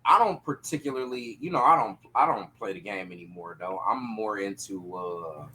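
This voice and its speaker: A man chatting casually on a podcast panel in an animated, slangy, profane way. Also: on the low side, moderate pace, a crowd in the background, rough recording.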